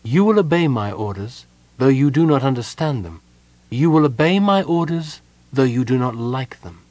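A man voicing a self-assured, fanatical female war leader in an audiobook, cool, deliberate and solemn. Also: mid-range voice, expressive, darkish tone, dry recording, voice pushed up, natural voice. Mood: commanding, solemn.

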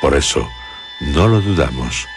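Over clear music, an extremely deep-voiced man from Spain narrates radio suspense. He speaks slowly and ominously, leaving theatrical pauses between short phrases. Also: expressive. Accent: Spanish from Spain. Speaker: series presenter.

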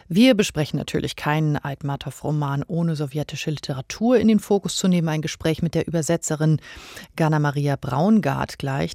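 A man's voice: on the high side, creaky, darkish tone, dry recording, studio quality. A man presenting a radio programme as its host.

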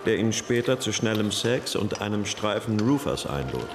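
An actor playing an insistent police detective briefing a colleague pointedly in a radio crime drama. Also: male voice, lowish voice, very animated, moderate pace, reverberant, noisy background.

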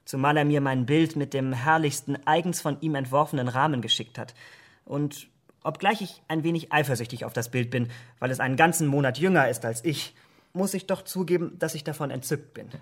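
An actor playing an impressionable, vain young gentleman in a German radio drama, speaking in an earnest, rapturous way. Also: fairly high pitch, brisk. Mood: light, petulant.

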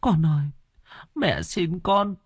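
A man's voice: high voice, big pitch swings, takes it slowly, husky, no room sound, studio quality, voice pushed up, heavily acted. A man doing a tearful, pleading old mother. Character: anxious old mother begging her daughter.

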